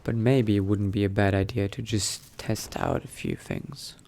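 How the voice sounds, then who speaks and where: A man doing in-character game commentary, thinking aloud in a musing, unhurried murmur to himself. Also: fairly low pitch, huge pitch swings, creaky, a little mellow, a little hiss or hum. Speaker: gamer narrating a roleplay playthrough.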